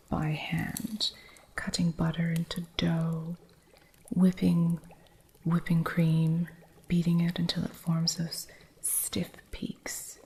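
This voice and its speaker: A woman voicing an eccentric witch who runs a curio shop, talking in a soft, fond, matter-of-fact ASMR whisper. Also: fairly low pitch, vocal fry, a little hiss or hum. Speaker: ASMR roleplay performer. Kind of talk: ASMR roleplay.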